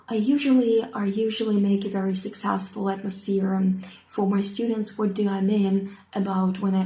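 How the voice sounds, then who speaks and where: A woman in her thirties, a native Russian speaker with a Russian accent, introducing herself as an English teacher and pausing often with ums and uhs as she searches for words. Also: medium pitch, mellow. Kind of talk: unscripted self-introduction. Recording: echoey room.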